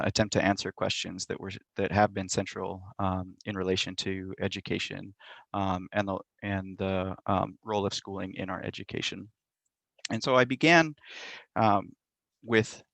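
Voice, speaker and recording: A middle-aged man giving a measured, thoughtful academic talk over a video call, with frequent ums and uhs. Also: sits low, fairly bright tone, no room sound. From Montana, United States. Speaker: university lecturer. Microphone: computer mic on a video call.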